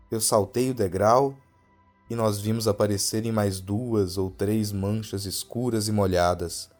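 A man's voice: fairly low pitch, darkish tone. A man with a Brazilian accent narrating a scripted horror story in a dramatic storytelling style.

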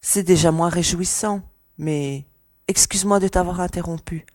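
A woman's voice: on the low side, normal speed, darkish tone, no echo, natural voice. Actress as a cool young woman officer, dry recording. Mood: curious. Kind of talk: French audio drama dialogue.

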